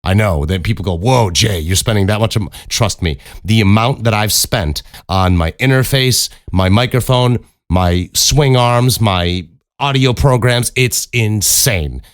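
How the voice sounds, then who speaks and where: Man, low-pitched voice, casual podcast monologue, blunt and emphatic, swears freely, dry close-mic sound. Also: unhurried, dry recording. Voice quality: deep voice. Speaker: podcast host and voice actor. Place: acoustically treated home recording room. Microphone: close studio condenser microphone.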